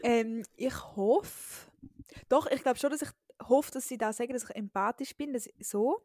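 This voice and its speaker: Young Eastern Swiss woman, podcast co-host, slightly high voice, chatty and giggly, dry close mic. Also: normal speed, a little mellow, no echo. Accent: Eastern Swiss German. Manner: chatty, giggly, full of fillers. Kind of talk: casual chat.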